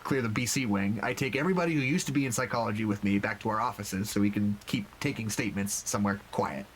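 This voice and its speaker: An actor playing an awkward, apologetic psychologist who takes staff statements, halting and stammering in a naturalistic audio drama. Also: mid-range voice, background noise, natural voice. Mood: weary, earnest.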